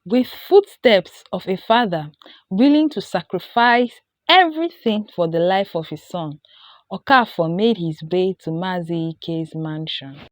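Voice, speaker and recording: A woman with a Nigerian accent narrating a scripted folktale in a deliberate, dramatic storytelling manner. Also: lowish voice, expressive, no echo.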